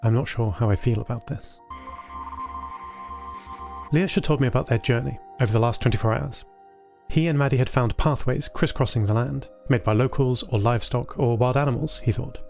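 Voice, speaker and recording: A male narrator tells a scripted first-person story in a middle-pitched voice. He plays a thoughtful artificial intelligence who cares about others. Music runs underneath. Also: moderate pace, vocal fry, darkish tone. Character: thoughtful, caring artificial intelligence built for communication. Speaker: narrator of an audio fiction podcast. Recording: voice over a music bed.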